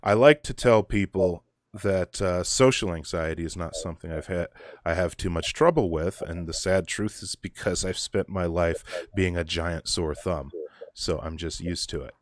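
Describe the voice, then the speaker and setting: Very deep-voiced man, halting and thoughtful. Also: no echo, studio quality. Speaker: guest. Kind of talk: casual conversation.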